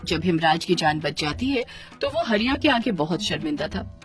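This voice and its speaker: Expressive woman storyteller. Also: on the low side, moderate pace, faint music behind. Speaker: narrator. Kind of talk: scripted children's story narration.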